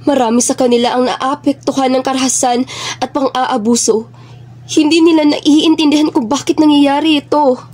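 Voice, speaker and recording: A grave, earnest underground activist acted by a woman with a high voice. The lines come as amateur radio drama dialogue. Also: steady tone, normal speed, a little mellow, natural voice. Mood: somber.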